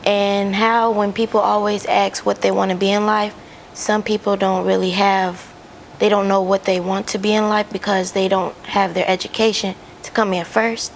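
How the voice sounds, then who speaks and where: A woman's voice: mid-range voice, level tone, normal speed, gravelly, slight background noise, poor audio. An American teenage girl giving an informal interview answer as a youth volunteer. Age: teens.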